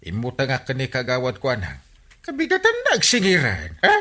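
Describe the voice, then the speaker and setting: Excitable, swooping Filipino village captain. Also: male voice, medium pitch, brisk, exaggerated voice. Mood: exasperated. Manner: blustering, exclamatory, swooping interjections. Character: male village captain Inteng, excitable, flustered debtor. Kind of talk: Filipino comedy radio drama acting.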